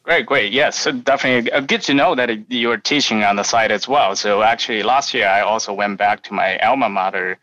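Man with a Chinese accent, low voice, forceful tone, dull lo-fi sound, measured with fillers. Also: dry recording. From China. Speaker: guest, insurance investment professional. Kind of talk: podcast interview.

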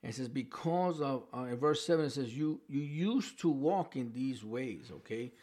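A man with a New York accent talks through a Bible chapter like a casual preacher. His pitch sits in the middle range, and he restarts his sentences and tosses in "you know" and "okay?" as he explains. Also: animated, moderate pace, a little mellow. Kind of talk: informal Bible teaching. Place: home with a dog barking in the background.

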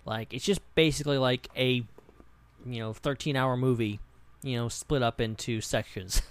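A man's voice: mid-range voice, steady tone, normal speed. A man co-hosting a podcast in loose, casual chat, rambling and often saying "like". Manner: rambling, filler-heavy with frequent "like". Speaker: podcast co-host. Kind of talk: casual pop-culture discussion.

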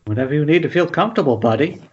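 A man playing a reckless, sarcastic film director with a husky voice in a screenplay table read over a dull internet call. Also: fairly high pitch, lively intonation, rapid-fire. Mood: impatient, defiant.

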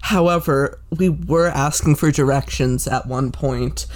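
A podcast host chatting in a casual interview, relaxed and self-deprecating, with the odd swear word. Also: mid-range voice, a little slow.

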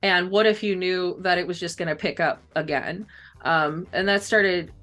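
A woman's voice: on the low side, steady tone, normal speed, a bit bright, soft background music. A woman talking casually as a guest author in an interview, chatty and loose, often saying like and kinda. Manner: chatty, hedging, frequent "like" and "kinda".

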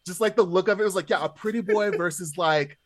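A man's voice: high voice, fast, no room sound. A man in casual podcast conversation, chatty and hesitant, padding his thoughts with lots of filler words. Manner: chatty, hesitant, many "like" hedges. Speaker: podcast panelist.

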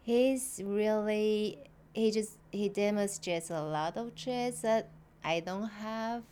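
A woman whose first language is Mandarin, speaking English in a hesitant, soft-spoken casual chat. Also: mid-range voice, takes it slowly, a little hiss or hum.

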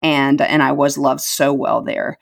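A woman's voice: rumbling low, fairly even intonation, a little slow, creaky. A middle-aged woman telling her life story as an interview guest, earnest and reflective, repeating words for emphasis.

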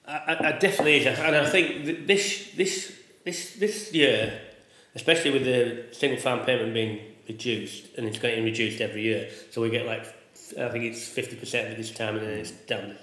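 A man who is a sheep farmer, talking casually in a loud voice and often ending with tag questions. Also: medium pitch, fairly even intonation, fairly quick, few pauses, roomy sound, rough recording. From England. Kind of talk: casual conversation.

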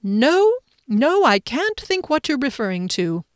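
A woman's voice: medium pitch, huge pitch swings, moderate pace, dry recording, studio quality. A woman voicing a pompous, smug man in an audiobook, haughty, dismissive and easily affronted. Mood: puzzled. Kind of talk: audiobook character dialogue.

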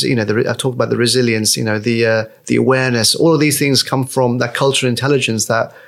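Guest voice, man, forties, quick and gravelly, chatty and rambling. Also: mid-range voice, a bit bright. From Nepal. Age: 40s. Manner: rambling with many fillers and restarts. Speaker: podcast guest. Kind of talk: casual podcast interview.

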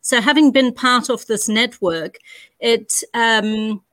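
A woman's voice: a little high, slow, a bit bright, no room sound. Woman with a New Zealand accent. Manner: articulate, storytelling. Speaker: international school director. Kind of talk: podcast interview.

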